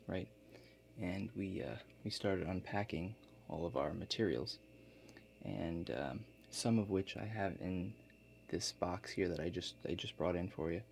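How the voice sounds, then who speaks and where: A man playing a laid-back construction contractor in a soft-spoken ASMR role-play, talking in a halting, matter-of-fact way. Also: deep voice, gravelly. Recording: faint background noise.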